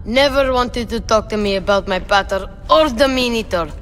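A woman voicing a curious, cheeky young boy in a video game, excitable and boastful. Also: on the high side, moderate pace, slight background noise, close to an everyday voice. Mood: chatty.

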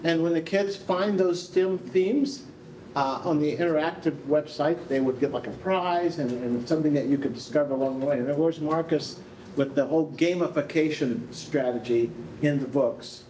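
An older man with a fairly high voice hosts a live event on stage. He talks off the cuff and with animation to guests and audience. The room echoes around him. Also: normal speed, a little mellow. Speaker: event host, researcher. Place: indoor rehearsal hall, on stage. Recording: echoey room, faint background noise.

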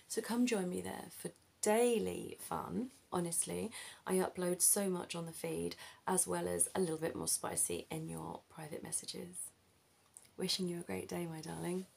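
A woman's voice: lowish voice, creaky, a little mellow, slight background noise. A woman in her thirties chatting casually and promotionally to her viewers as an ASMR creator. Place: decorated room indoors.

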